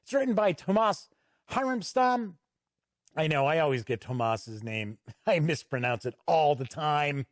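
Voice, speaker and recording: Higher-voiced man hosting a review. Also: lively intonation, unhurried, no room sound, studio quality. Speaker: host and reviewer of a tabletop gaming channel. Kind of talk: unscripted video product review to camera. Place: indoor home studio.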